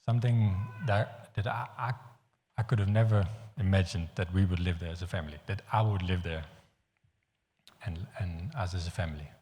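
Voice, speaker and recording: A man from the Netherlands with a Dutch accent, preaching a sermon in an earnest, halting way with frequent restarts. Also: deep voice, darkish tone, slight background noise. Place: church hall. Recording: long hall reverb.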